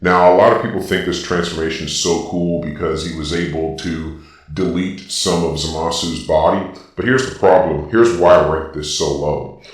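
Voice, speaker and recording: A man's deep voice runs through a robotic filter, swimming in heavy echo. He gives off-the-cuff ranking commentary, laying out his reasons one by one with firm emphasis. He sounds set back from the microphone. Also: steady tone, moderate pace. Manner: emphatic, explanatory, reasoning point by point. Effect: deep robotic Darth Vader-style voice filter. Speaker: video host. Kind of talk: informal opinionated ranking commentary, partly improvised. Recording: very echoey, distant from the mic.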